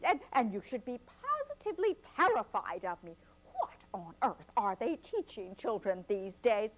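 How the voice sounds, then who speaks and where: Vain pompous male fox, sly hushed voice, shrill when insisting, storybook telling, as a woman puts him on. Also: huge pitch swings, moderate pace, rough recording. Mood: indignant.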